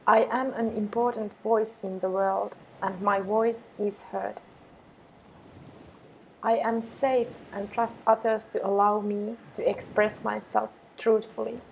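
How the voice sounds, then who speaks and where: A woman meditation teacher guiding affirmations in a calm, measured way with pauses between phrases. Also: medium pitch, slight background noise, poor audio. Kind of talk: guided meditation with repeated affirmations.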